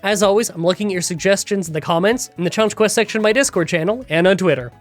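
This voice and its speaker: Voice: medium pitch, very fast. Nasal gaming YouTuber with a cold, stuffy and chatty, faint music under the voiceover. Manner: chatty, rambling storytelling. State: congested from a cold. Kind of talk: game challenge voiceover recap. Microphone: close voiceover mic.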